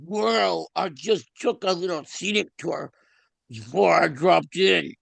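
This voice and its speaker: A man playing a weary, gentle luckdragon in a script read, speaking in a dry, understated way. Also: sits high, slow pace, vocal fry, no room sound, full character voice. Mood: dry, easygoing. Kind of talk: table read of a film script.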